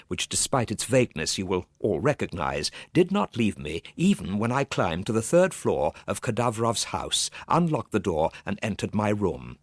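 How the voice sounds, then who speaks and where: An actor playing a nervous, gloomy Victorian gentleman telling a ghost story in a theatrical radio monologue, full of hushed dread and sudden swells. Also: on the low side, husky, dry recording, clean studio sound, heavily acted. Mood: mounting dread.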